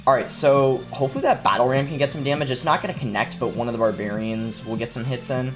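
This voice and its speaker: Young man with a cold, calm game commentary. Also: medium pitch, quick pace, music in the background. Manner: relaxed, explanatory play-by-play with many fillers. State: sore throat and stuffy nose from a cold. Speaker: gaming streamer. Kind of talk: live gameplay commentary. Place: indoor room at a gaming desk.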